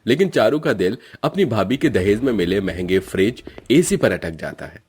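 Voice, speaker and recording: Animated, husky male story narrator. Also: fairly low pitch, normal speed.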